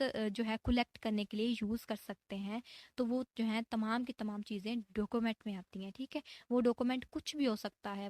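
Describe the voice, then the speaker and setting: A woman's voice: a little high, no echo. A woman with a Pakistani accent giving an explanatory exam-prep law lecture, repeating key points with emphasis and frequent check-in tags. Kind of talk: explanatory exam-prep lecture, mixing in English legal terms.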